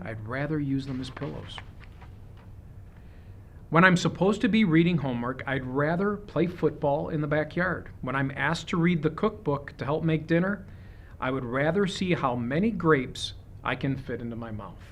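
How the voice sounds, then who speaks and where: A man reading a children's picture book aloud, with expressive, deliberate phrasing suited to young listeners. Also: a little high, a little hiss or hum. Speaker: storybook reader.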